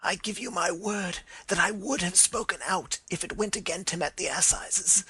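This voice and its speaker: A man's voice: high voice, normal speed, sharp tone, breathy edge, dry recording, heavily acted. A man voicing a dying older man who pleads and confesses with emotion. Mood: desperate. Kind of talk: dramatized reading of a detective story.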